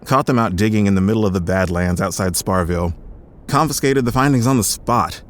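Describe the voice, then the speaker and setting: An actor playing a gruff, stubborn paleontologist who speaks wearily and sardonically, quickening when excited. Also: male voice, fairly low pitch, natural voice. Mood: dry, sardonic. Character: gruff middle-aged male paleontologist, bitter and stubborn. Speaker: doctor running a research center. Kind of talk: audio drama acting.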